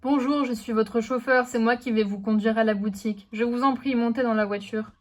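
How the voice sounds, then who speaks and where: A woman voicing a polite male chauffeur in a toy-figure parody, speaking loudly. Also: fairly high pitch, fairly even intonation, fairly quick, natural voice.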